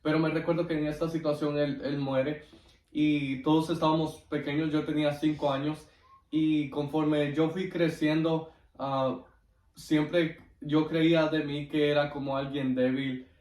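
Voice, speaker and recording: Man, devout and halting testimony, slightly high voice, away from the mic. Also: little pitch movement, a little mellow. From Guatemala. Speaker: interview guest giving testimony. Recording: roomy echo, distant microphone.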